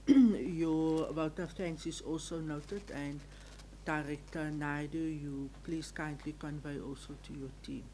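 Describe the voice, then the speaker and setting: A woman's voice: low-pitched, fairly even intonation, slow pace, mellow, slight background noise, poor audio. Formal woman chairing council. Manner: formal, courteous, procedural. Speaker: council speaker chairing the meeting. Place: council chamber.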